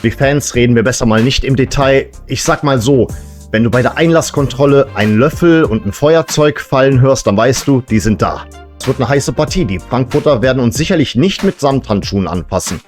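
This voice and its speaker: Man, medium pitch, casual satirical monologue, tongue-in-cheek, fan show host, music behind. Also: lively intonation, brisk. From Serbian family background. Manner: tongue-in-cheek, joking asides.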